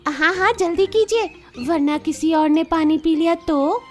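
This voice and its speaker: A woman's voice: very high-pitched, unhurried, soft background music. A woman voicing a clever, sly fox with a coaxing manner in a children's animal story.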